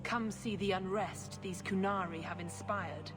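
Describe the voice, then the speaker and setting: Woman acting haughty scheming church mother, fairly high voice, formal and measured, video game cutscene w music behind. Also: fairly even intonation, lightly acted. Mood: haughty, calculating. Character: scheming, condescending Chantry priestess, smooth political manipulator.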